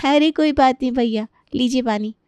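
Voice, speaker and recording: Casting: actress as pushy, chatty cartoon neighbour woman, highish and crisp. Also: normal speed, dark tone, no room sound, close to an everyday voice. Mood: grateful. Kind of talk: Hindi cartoon story character voice.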